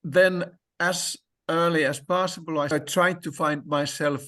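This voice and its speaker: A man whose first language is Finnish, talking slowly and hesitantly in a discussion, with many fillers and restarts. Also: on the high side, fairly even intonation, bright, forceful tone. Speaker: language learner sharing experience. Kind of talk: conversational discussion.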